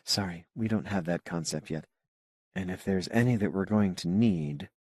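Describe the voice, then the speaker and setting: A man voicing a determined, self-assured woman scientist in an audiobook, explaining things in a measured, patient way. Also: deep voice, a little mellow, no echo, clean studio sound. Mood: pleased, thoughtful.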